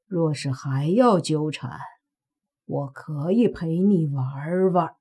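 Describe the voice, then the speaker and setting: A woman voicing a cold, stern older male fox spirit who speaks slowly and menacingly. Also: sits low, warm tone, slightly rough, exaggerated voice. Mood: cold threatening.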